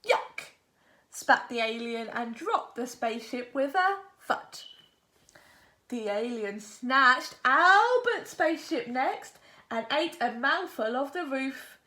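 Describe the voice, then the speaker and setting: A woman reading a picture book aloud to children in an expressive, dramatic storytelling style. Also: high-pitched, slow pace. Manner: expressive, dramatic delivery with acted sound words.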